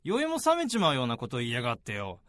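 A young man acting a hearty, easygoing salaryman mentor with casual, rough speech in a radio drama. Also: mid-range voice, wildly swooping pitch, fast, a bit bright, no room sound, studio quality. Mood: drunk, grumbling. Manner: casual, rough, blokey senior-to-junior speech. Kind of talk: radio drama acting with first-person narration. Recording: music behind the voice.

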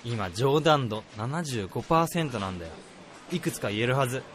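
An actor playing a cocky, slacking senior AI researcher with a brash casual manner in a radio drama. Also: male voice, mid-range voice, lively intonation, fairly quick, slight background noise, lightly acted. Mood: playful, smug. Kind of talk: radio drama acting with first-person narration.

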